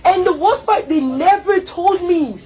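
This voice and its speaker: Loud, upset high-voiced young woman. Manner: loud, upset, rapid venting. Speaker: older sister and legal guardian.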